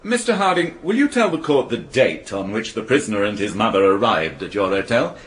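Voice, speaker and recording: A dramatic, swooping English prosecutor. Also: male voice, on the low side, big pitch swings, fairly quick. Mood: formal. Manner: formal, emphatic courtroom rhetoric. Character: stern, emphatic male prosecution barrister addressing a court. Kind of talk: radio crime drama acting.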